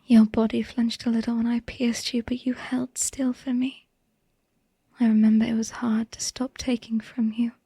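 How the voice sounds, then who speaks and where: Woman voicing a loving vampire wife, melancholy, intimate ASMR roleplay, breathy hush, even pitch, close dry sound. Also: dry recording. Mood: fond, wistful. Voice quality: breathy, hushed whisper. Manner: slow, tender, halting delivery with lingering pauses. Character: devoted, melancholy female vampire wife, tender and haunted.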